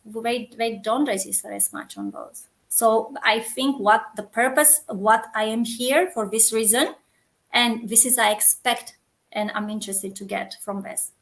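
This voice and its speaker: A woman's voice: on the high side, fairly even intonation, a little slow, a bit bright, rough recording. A woman from Romania with a Romanian accent, a community founder chatting earnestly as a guest and often restarting as she hunts for words. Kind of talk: casual interview chat.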